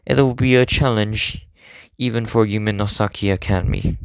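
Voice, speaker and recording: A man playing an earnest, serious teenage male idol student in an anime game fan dub, explaining things formally at measured length. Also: on the low side, fairly even intonation, creaky, smooth, dry recording. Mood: serious, explanatory.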